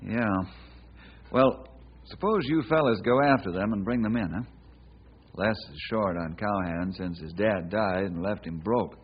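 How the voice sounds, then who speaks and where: An actor playing a calm, practical ranger in an old radio western, plain-spoken and measured. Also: male voice, fairly low pitch, breathy edge. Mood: decisive. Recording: dull, noisy old radio recording.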